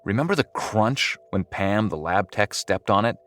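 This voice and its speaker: A man's voice: lowish voice, lively intonation, moderate pace, fairly bright tone. A man reading scripted second-person horror-comedy narration in a wry, dramatic storytelling manner. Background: music under the voice.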